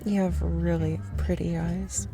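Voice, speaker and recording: A woman's voice: on the low side, flat delivery, a little slow, creaky, music in the background. A woman playing a shy, nervous young woman stranger at a cafe in an ASMR roleplay, rambling and second-guessing herself. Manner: rambling, self-conscious, overthinking.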